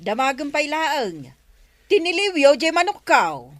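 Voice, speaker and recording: An indignant, anxious village woman in an Ilocano radio comedy. Her high voice moves around a lot, with an Ilocano accent, in a very dry recording. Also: big pitch swings, unhurried, fairly bright tone, no room sound, exaggerated voice. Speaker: actor in radio comedy drama. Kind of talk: Filipino radio comedy drama acting.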